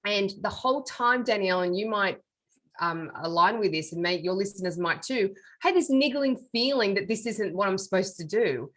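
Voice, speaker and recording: A woman's voice: mid-range voice, expressive, moderate pace, fairly bright tone. A middle-aged woman, a medium and author, telling her life story as a guest in an interview in an earnest, reflective way. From Australia. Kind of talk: interview conversation.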